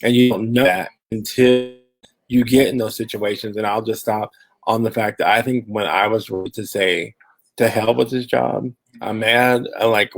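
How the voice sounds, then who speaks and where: A man's voice: mid-range voice, rough recording. A man from Phoenix speaking as a panelist in a discussion over a video call, conversational, with many fillers and restarts. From Phoenix, Arizona. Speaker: panelist, diversity and inclusion professional.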